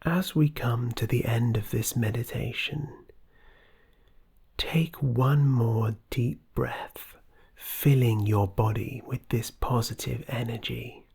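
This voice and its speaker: Meditation guide: hushed, soothing, scripted delivery, unhurried pace, breathy and husky with vocal fry. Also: medium pitch, steady tone. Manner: soft, soothing, breathy, often near whisper.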